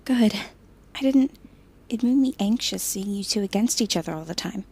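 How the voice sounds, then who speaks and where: An actress playing an anxious young woman in an audio drama, hesitant and halting in her speech. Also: medium pitch, lively intonation, vocal fry, a little mellow, slightly rough. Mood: tentative. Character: anxious young woman Ophelia, police chief's daughter, pleading.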